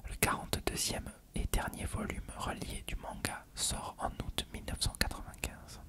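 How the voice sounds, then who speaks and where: An ASMR host who speaks entirely in an unvoiced whisper. The delivery is measured and explanatory, like an encyclopedia article being read aloud for a listener. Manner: measured, explanatory, reading from text.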